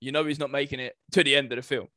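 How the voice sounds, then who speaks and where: A man's voice: mid-range voice, steady tone, very fast, sharp tone, no room sound, studio quality. A man in casual podcast conversation as co-host, thinking aloud with some hesitation. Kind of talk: casual chat about video game movies.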